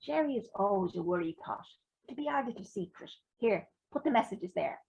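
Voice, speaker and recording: A woman's voice: medium pitch, animated, a little fast, vocal fry, a little mellow, a little hiss or hum, low-quality recording. A woman voicing a chirpy, cheeky old grandmother who coaxes and teases her grandson. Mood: coaxing, teasing. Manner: coaxing, teasing.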